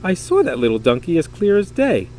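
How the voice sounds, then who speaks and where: A man's voice: on the high side, huge pitch swings, fairly quick, darkish tone. A man voicing a mean, hungry male lion from a storybook, muttering in bewilderment. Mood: bewildered.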